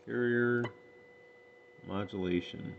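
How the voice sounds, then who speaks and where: A man's voice: medium pitch, fairly even intonation, slow, vocal fry. A man giving a casual, off-the-cuff equipment demonstration, matter-of-fact and thinking aloud as he works. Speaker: radio hobbyist demonstrating and testing equipment. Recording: dull lo-fi sound with faint noise.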